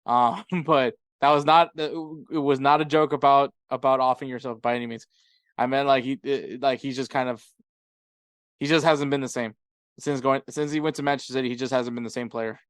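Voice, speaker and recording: Smooth-voiced man chatting casually about soccer. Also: medium pitch, fairly even intonation, a little fast, no room sound. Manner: informal, jokey. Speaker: sports podcast co-host.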